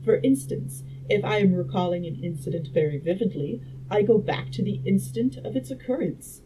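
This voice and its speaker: A woman reading aloud as a confident Victorian gentleman inventor who lectures in a didactic, assured way. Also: medium pitch, expressive, moderate pace, lightly acted. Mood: insistent, lecturing. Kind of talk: audiobook-style character reading. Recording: noisy room background.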